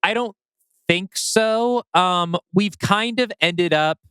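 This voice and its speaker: A man's voice: sits high, slow pace, pushed and bright, clean tone, no echo. A man co-hosting a podcast in casual discussion. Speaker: podcast host.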